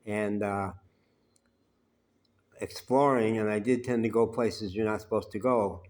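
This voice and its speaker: A man telling personal stories in an unhurried, conversational way, pausing often. He has a naturally loud voice. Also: lowish voice. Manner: unhurried and conversational, with frequent "uh" pauses. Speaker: vlogger telling life stories. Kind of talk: rambling personal storytelling.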